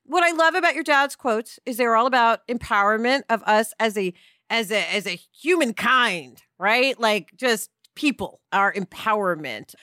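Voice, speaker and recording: Female host, high and bright, playful and animated; dry close mic. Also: normal speed, no room sound. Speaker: podcast host. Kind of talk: casual interview chat.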